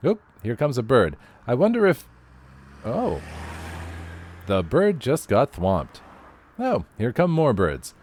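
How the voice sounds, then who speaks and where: A man's voice: medium pitch, a little mellow, a little hiss or hum. A man playing a field weather reporter in an audio drama, breezily delivering news of horrors like a newscaster. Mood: startled. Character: chirpy male field weather reporter amid eldritch horror.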